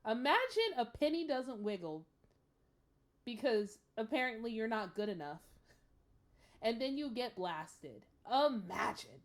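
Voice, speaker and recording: Woman, reaction host, casual commentary, emphatic, animated intonation, slow deliberate pace. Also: fairly high pitch. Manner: exclamatory, emphatic, repeats for emphasis.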